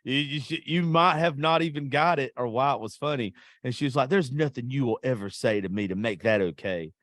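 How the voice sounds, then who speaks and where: A man's voice: medium pitch, fairly quick, a bit bright, dry recording, clean studio sound. A man hosting a podcast, chatting casually and telling crude stories with a lot of profanity and self-mocking humor. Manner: profane, joking, laughs at himself. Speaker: podcast host. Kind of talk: casual crude storytelling chat.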